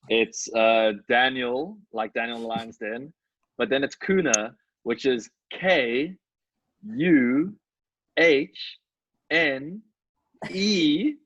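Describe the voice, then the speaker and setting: A man's voice: medium pitch, fairly bright tone, no echo. Dry, slightly muffled man chatting. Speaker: podcast cast member. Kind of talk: casual chat.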